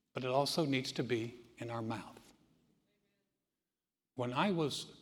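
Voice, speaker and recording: A man preaching a sermon as pastor, moving from easy conversational teaching into loud, emphatic delivery. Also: medium pitch, long reverb tail.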